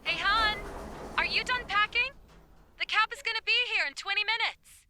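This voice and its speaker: Woman to play a sweet, brisk young girlfriend for audio drama, very high and sharply bright. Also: dry recording, heavily acted. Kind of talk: indie audio drama acting.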